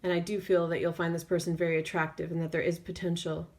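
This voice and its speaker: A woman tarot reader speaking off the cuff in an intuitive reading, feeling her way through phrases with drawn-out pauses. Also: lowish voice, flat delivery, darkish tone.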